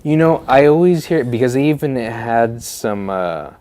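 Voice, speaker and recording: A man hosting a casual podcast, easygoing and asking his guest questions with frequent "uh" pauses. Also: mid-range voice, expressive, warm tone. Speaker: podcast host.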